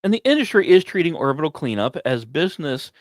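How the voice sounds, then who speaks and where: A man delivers scripted science commentary as a podcast host, with a lively, swooping intonation. He leans on key phrases dramatically and slips in informal asides. The sound is dry and close, with very little room. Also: fairly high pitch, fast talker, no room sound.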